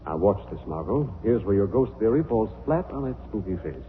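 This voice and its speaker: An actor playing an assured, skeptical gentleman sleuth in a 1940s radio drama, explaining things to his companion. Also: on the low side, big pitch swings, fairly quick, narrow, tinny audio. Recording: thin, noisy 1940s radio recording.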